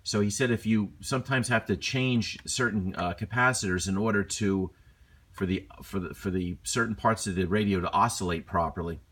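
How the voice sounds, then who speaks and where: A man's voice: lowish voice, moderate pace, a bit bright, slightly rough. A man presenting a casual, unscripted repair walkthrough in a rambling, self-deprecating, colloquial way. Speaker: hobbyist presenter.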